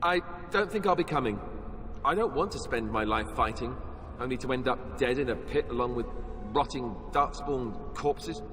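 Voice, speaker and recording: An actor playing a naive, cheerful young warrior with an affable manner in a video game. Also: male voice, mid-range voice, normal speed, a bit bright, long reverb tail, natural voice. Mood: dismissive. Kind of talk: naturalistic video game acting.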